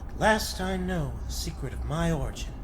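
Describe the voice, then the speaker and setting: A man's voice: a little high, expressive, moderate pace, noisy background, lightly acted. A man playing an earnest, heroic reporter with a secret superhero life, thinking aloud in declamatory 1940s radio style. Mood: anguished, resolute. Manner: declamatory, dramatic.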